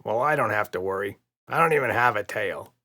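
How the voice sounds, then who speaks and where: Man doing a creaky, sarcastic monster. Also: on the low side, expressive, brisk, pushed and bright, exaggerated voice. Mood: dismissive. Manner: snickering, mumbling, dry. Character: skeptical, sarcastic, flabby monster sidekick. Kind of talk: children's audiobook character.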